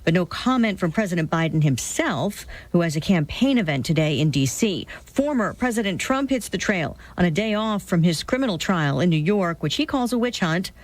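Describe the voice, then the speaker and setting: A woman's voice: fairly low pitch, expressive, moderate pace, hardly any pauses. A woman reading scripted radio news as a network reporter.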